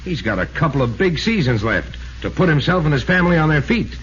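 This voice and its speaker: Stubborn American ballplayer on muffled old radio. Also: male voice, a little high, sing-song, brisk, fairly bright tone. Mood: firm, defensive. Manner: plain-spoken, slangy, stubborn. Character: stubborn, loyal, troubled big star ballplayer, adult man. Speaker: radio drama actor. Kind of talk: 1940s radio acting. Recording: muffled, noisy vintage radio recording.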